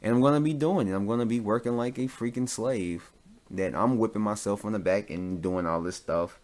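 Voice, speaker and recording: A man with a fairly low voice talks solo as a podcast host. His delivery is casual and unscripted. He rambles along, circling back to repeat points for emphasis. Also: a little fast, a little mellow. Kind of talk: casual off-the-cuff podcast monologue.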